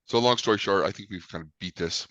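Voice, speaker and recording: Low-voiced man with a cold. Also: fast talker, fairly bright tone, dry recording, lo-fi sound. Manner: rambling, many ums. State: sick with a cold. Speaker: sales trainer. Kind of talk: training call walkthrough.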